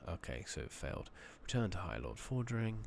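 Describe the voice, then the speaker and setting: A man with a very low voice, heavy with vocal fry, softly narrates his game in an ASMR style. His hushed delivery rises and dips in a lively way as he muses to himself, unhurried, at times close to a whisper. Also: slight background noise. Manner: hushed, murmured, sometimes slipping into whisper. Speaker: gaming channel host. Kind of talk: soft-spoken ASMR game playthrough commentary.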